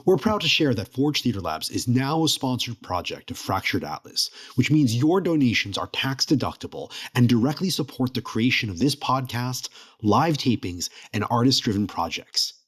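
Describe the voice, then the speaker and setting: Male announcer, mid-pitched and lively, scripted promotional read for a theater company. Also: moderate pace, few pauses.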